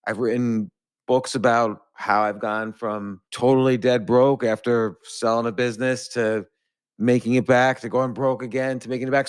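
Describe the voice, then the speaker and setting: A man's voice: medium pitch, clean tone. A man hosting a podcast, explaining civics in a casual, rambling, self-deprecating way. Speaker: podcast host.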